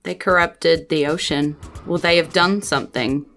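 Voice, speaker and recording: A woman's voice: sits low, moderate pace, fairly bright tone. A woman with a New Zealand accent improvising narration as game master, in a loose, conversational, explanatory way. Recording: music behind the voice. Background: background music.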